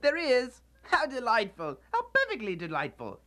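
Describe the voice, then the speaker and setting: A woman playing an old male miser reborn as a giddy, generous soul in a radio drama, exclaiming and repeating phrases excitedly. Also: on the high side, moderate pace. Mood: jubilant, excited.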